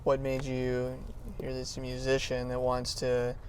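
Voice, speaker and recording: A man's voice: mid-range voice, completely flat delivery, unhurried, slight background noise. A man co-hosting a podcast, a lawyer asking his partner curious, prompting questions. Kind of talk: interviewing his co-host.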